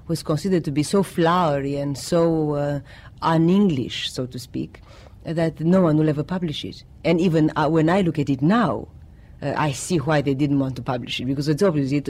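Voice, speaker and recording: A man's voice: on the high side, a little mellow. A middle-aged man from Romania, speaking English as a later language in a reflective interview, often searching for words. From Romania, of Greek parents. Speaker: poet and writer interviewee.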